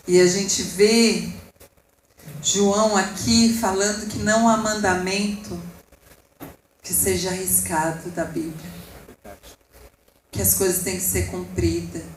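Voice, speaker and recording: A woman's voice: mid-range voice, fairly even intonation, slow pace, long pauses, roomy sound, slight background noise, poor audio. A woman with a Brazilian Portuguese accent preaching a sermon with passion, rising and falling and speaking asides to the congregation. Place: church hall.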